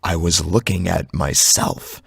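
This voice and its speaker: A man's voice: low-pitched, little pitch movement, unhurried, creaky, slightly rough, no room sound. A man narrating a scripted first-person horror story dramatically, close on the microphone. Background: background music.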